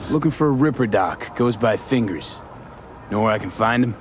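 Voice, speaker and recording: A man's voice: fairly low pitch, animated, normal speed, slight background noise, natural voice. A man playing a cool, determined mercenary in a video game, speaking in a blunt, terse, controlled way. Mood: guarded. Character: cool, determined male mercenary, former nomad. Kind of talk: video game character acting.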